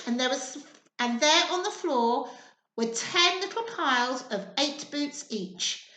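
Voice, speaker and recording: A woman reading a children's story in an expressive, sing-song, encouraging way, pausing to put questions to her young listeners. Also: high voice, slight background noise. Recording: echoey room sound.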